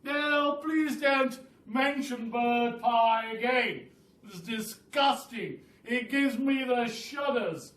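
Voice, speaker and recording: A man's voice: very high-pitched, slow, a bit bright, pitched above the performer's own voice, full character voice. A plump, dramatic bird from a children's story acted out by a man exclaims and grumbles in a raised voice. The sound is roomy and a little distant. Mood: disgusted. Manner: exclaiming theatrically. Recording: roomy, distant mic with faint noise.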